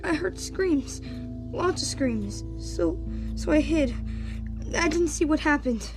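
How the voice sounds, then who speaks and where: Traumatized young boy in a video game: small high voice, fearful, hesitant, repeating himself. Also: expressive, heavily acted. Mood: frightened. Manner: timid, halting, repeating. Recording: music behind the voice.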